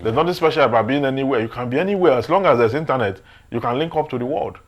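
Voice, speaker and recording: A man's voice: medium pitch, racing. Nigerian man in emphatic discussion. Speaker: panel discussant on current affairs. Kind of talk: opinionated discussion.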